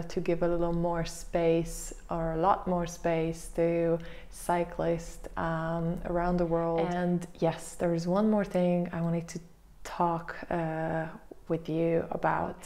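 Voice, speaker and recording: A young woman vlogging casually to camera from her living room, chatty and full of pauses and fillers, on a lapel mic. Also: fairly low pitch, completely flat delivery, warm tone. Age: young adult. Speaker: vlogger host. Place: small living room indoors. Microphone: lapel mic clipped to her top.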